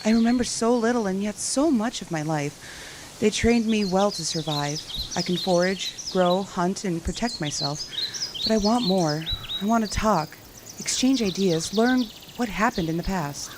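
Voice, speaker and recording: Over clear background noise, a lone female survivor played by an actress muses to herself in a medium-pitched voice. She is reflective and yearning, speaking as in an audio drama monologue after the apocalypse. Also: moderate pace, close to an everyday voice.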